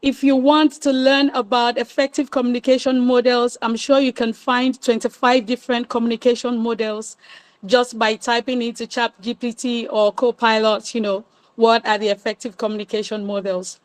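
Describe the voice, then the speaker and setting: A woman, hoarse from a cold, giving a webinar talk from her phone. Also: a little high, level tone, normal speed, dry recording, poor audio. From Nigeria. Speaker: guest speaker. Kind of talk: webinar presentation. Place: online video call.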